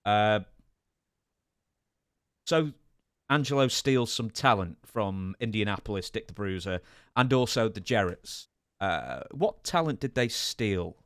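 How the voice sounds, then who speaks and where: A man's voice: fairly low pitch, animated, slow, pauses often, a bit bright, clear, resonant tone, dry recording, clean studio sound. A man hosting a podcast, putting questions to his guest in a casual interview style.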